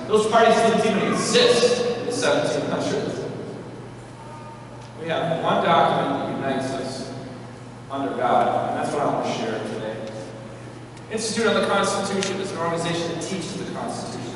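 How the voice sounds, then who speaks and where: A man in his forties giving an animated keynote speech in a large armory hall with a loud voice, addressing the crowd directly through a handheld mic held low. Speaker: keynote speaker. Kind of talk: public speech. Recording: echoey hall, distant mic, noisy.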